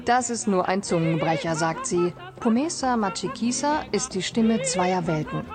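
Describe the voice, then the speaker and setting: A woman's voice: fairly low pitch, animated, normal speed. A woman speaking in a documentary clip, explaining things in a plain way. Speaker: documentary voice-over. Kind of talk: documentary narration over music. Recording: documentary clip with music underneath.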